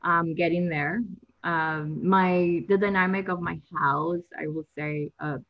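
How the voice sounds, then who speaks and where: Young woman guest, spanish is her first language, lowish voice, nervous and giggly, kinda muffled audio. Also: steady tone, unhurried. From Latin America. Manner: nervous, laughing, rambling with many restarts. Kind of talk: conversational interview. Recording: dull lo-fi sound.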